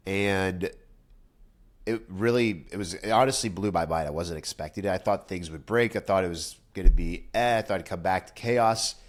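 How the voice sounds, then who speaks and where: Young guy w a low stuffed-up nasal voice, chatty vlog about his business, lots of uh and restarts. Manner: candid, rambling, frequent fillers and restarts. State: nasal, congested after nose surgery. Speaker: business owner vlogger. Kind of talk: casual direct-to-camera talk.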